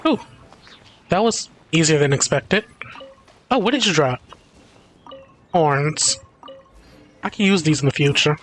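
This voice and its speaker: A man's voice: on the high side, animated, measured pace, pauses often, fairly bright tone. A man livestreaming a video game, chatting casually and commenting on it. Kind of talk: casual gaming stream chat. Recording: faint game music behind.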